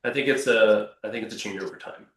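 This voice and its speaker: A man's voice: fairly low pitch, fast, fairly bright tone. A man who founded a robotics company, talking with energy and emphasis and often repeating his words. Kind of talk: panel discussion answer. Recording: echoey room, off-mic.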